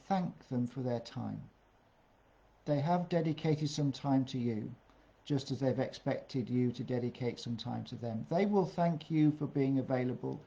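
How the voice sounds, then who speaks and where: A man talks through job interview tips in a mellow, warm voice, and he often stops on "uh". The sound is a little dull and muffled, like a modest home recording. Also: mid-range voice. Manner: plain-spoken, many "uh" fillers. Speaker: career coach. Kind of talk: webinar advice talk.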